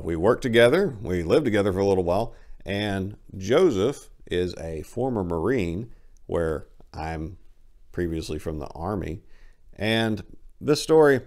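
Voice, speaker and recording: A man's voice: sits low, darkish tone. A man presenting and narrating short stories in an emphatic, theatrical reading style. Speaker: channel host, author reading stories. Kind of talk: scripted introduction and dramatic narration.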